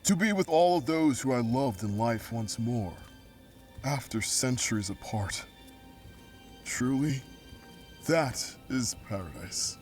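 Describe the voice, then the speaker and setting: A man's voice: medium pitch, lively intonation, takes it slowly, long pauses, darkish tone, faint music behind. A man playing a noble, courteous ancient ghost guide in an audio drama, speaking in grave, formal, archaic phrases. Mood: serene, reverent. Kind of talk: audio drama character acting.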